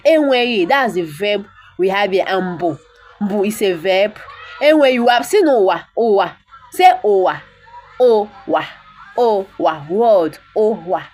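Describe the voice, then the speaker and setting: A woman's voice: mid-range voice, expressive, measured pace, a little mellow, faint music behind. A woman, an Igbo speaker, teaching the language by reading a bible verse and repeating words for pronunciation. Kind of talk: reading and explaining a bible text.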